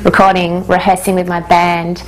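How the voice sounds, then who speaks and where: Soft-spoken woman singer. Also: lowish voice, completely flat delivery, moderate pace, a little hiss or hum, rough recording. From Australia. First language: Hungarian. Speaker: singer-songwriter. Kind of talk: reflective interview monologue.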